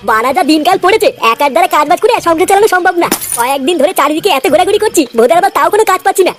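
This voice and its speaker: A man voicing a sympathetic, scheming streetwise friend in a comic cartoon, advising earnestly in colloquial speech with a pitched-up voice. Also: animated, very fast, a bit bright, faint music behind. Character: streetwise older pal, sympathetic scheming club leader.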